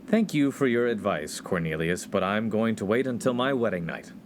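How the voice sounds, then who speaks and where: A man's voice: lowish voice, animated, normal speed, a little hiss or hum, natural voice. Man playing prim, square college freshman. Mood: earnest. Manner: polite, proper. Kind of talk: comedic 1950s radio play acting.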